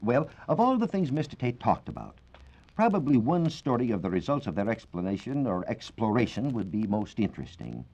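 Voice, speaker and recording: An American man giving a formal, measured, scripted science talk as a reporter on 1940s radio. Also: mid-range voice, big pitch swings, darkish tone. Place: radio studio. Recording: dull, band-limited 1940s radio recording.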